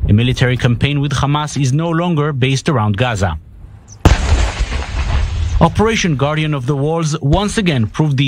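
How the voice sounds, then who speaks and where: A man's voice: fairly high pitch, a little hiss or hum. A man reading a scripted news report as a narrator.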